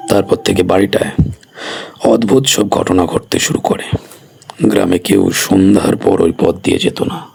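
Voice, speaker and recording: A man's voice: sits low, unhurried, creaky, darkish tone, a little hiss or hum. A man narrating a scripted horror story as a storyteller.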